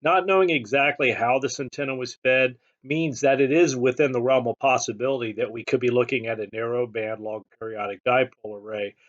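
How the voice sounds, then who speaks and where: A man giving a measured, matter-of-fact technical narration as the host of a hobbyist channel. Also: medium pitch, fairly even intonation, highs cut off. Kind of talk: explanatory scripted narration.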